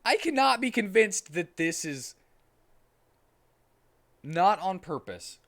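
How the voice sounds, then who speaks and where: Animated man doing a comic dramatic reading. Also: high-pitched, takes it slowly. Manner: animated, amused. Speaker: streamer.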